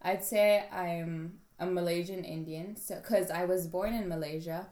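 A young woman from Hong Kong talks through an interview in a relaxed, halting way, stopping and restarting her sentences. Her voice sits a little low. The room around her is noticeably echoey. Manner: hesitant, many restarts and fillers. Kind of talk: casual interview conversation.

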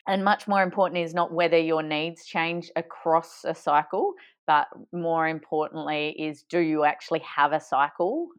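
Low-voiced woman giving a clear, thoughtful summary. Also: normal speed. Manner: thoughtful, hesitant, with many ums and restarts. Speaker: podcast co-host. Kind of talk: summarizing an interview for listeners.

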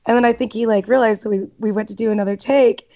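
A woman's voice: on the high side, steady tone, fast, mellow. A woman in her thirties, a former child actor, reminiscing casually in an interview, giggling often and trailing off with fillers. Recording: dull lo-fi sound.

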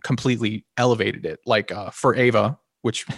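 Relaxed, slightly nasal man musician. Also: lowish voice, moderate pace, gravelly, no echo, studio quality. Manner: relaxed and rambling, with many restarts and small laughs. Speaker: singer and guitarist of a band. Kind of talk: casual interview conversation.

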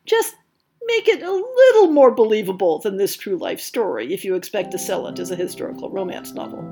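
A woman's voice: medium pitch, very animated, brisk, gravelly, warm tone, slightly rough, soft background music. A woman hosting a podcast, reading a scripted history essay in an articulate storytelling way with wry asides. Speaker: podcast host.

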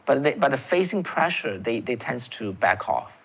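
A man's voice: medium pitch, sing-song, moderate pace, fairly bright tone, slightly rough, dull audio. A man from China, a native Chinese speaker with a Chinese accent, hosting solo political commentary. He talks emphatically, often repeating words and restarting phrases, and calls his viewers "folks.".

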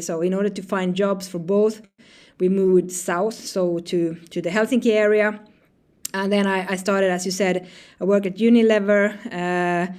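A woman's voice: mid-range voice, a little mellow. Woman, forties, from northern Sweden, founder guest, loose talker who restarts her sentences often. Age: 40s. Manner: halting, many restarts and fillers, light laughs. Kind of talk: conversational interview answers.

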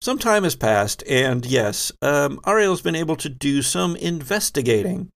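Man, game master, creaky voice, lively swooping pitch, dramatic storytelling pauses. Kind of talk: narrating a tabletop game podcast.

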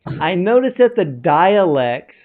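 A man's voice: sits high, sing-song, takes it slowly. A man from the United States hosting an interview, asking long rambling questions. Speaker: interviewer, host. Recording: tinny phone-like line.

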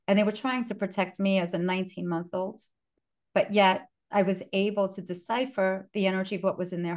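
A middle-aged woman from Brooklyn, hosting a casual, effusive chat full of restarts. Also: medium pitch. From Brooklyn, United States. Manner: chatty and effusive, with many fillers and restarts. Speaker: podcast host.

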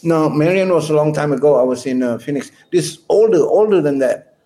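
A man's voice: fairly high pitch, a little fast, warm tone. A man, a guest musician rambling through anecdotes in a casual interview chat. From Malaysia. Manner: rambling, anecdotal storyteller with chuckles.

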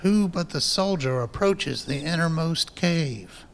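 A man's voice: on the high side, expressive, unhurried. Man acting a wary doctor in heavy reverb. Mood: probing, urgent. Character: wary, irritated male doctor probing a rival. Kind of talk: radio drama acting. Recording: long hall-like reverb.

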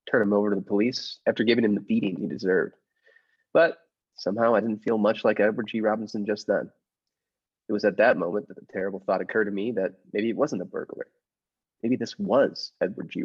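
A man's voice: on the low side, breathy edge, muffled recording. A man playing a meek, nervous henpecked husband who narrates his scheme in a radio play, hesitant, earnest and formal. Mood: anxious recounting. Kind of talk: improvised-cast classic radio play narration.

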